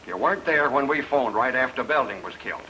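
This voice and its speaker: An actor playing a gruff small-town police chief in an old-time radio noir, questioning in a terse, sardonic way. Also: male voice, medium pitch, animated, fast, bright, forceful tone. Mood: interrogating, sardonic. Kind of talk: old-time radio detective drama acting. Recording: noisy old radio drama recording.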